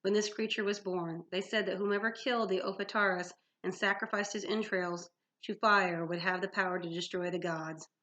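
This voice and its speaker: A woman's voice: mid-range voice, fairly even intonation, normal speed, hardly any pauses, a bit bright, natural voice. A woman voicing a grave, ancient huntress in a fantasy storybook, speaking in a formal, archaic manner. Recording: dull lo-fi sound.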